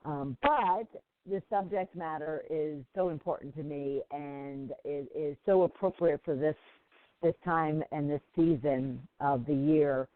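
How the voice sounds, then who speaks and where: A woman's voice: sits low, measured pace, no echo. Woman, radio host, sick and stuffy, lots of ums, dry tinny phone sound. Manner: rambling, with frequent ums and restarts. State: sick, slightly congested. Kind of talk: casual call-in radio talk.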